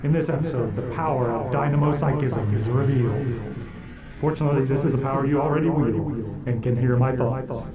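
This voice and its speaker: A man's voice: mid-range voice, brisk. A man reading a scripted introduction as the host of a radio drama program. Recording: dull, roomy, distant-sounding.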